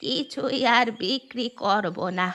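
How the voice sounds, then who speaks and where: An elderly village grandmother as a woman acts her out speaks plainly and earnestly in a children's cartoon. She sounds rustic and repentant, with music clearly playing behind her. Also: on the high side, slow pace, pushed and bright. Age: older. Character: elderly village grandmother, once stingy, now repentant and generous. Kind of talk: children's cartoon character acting.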